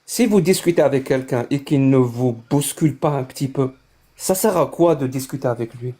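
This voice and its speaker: A man from the Maghreb in an online voice debate, deliberately provocative and challenging, teasing the others. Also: mid-range voice, mellow.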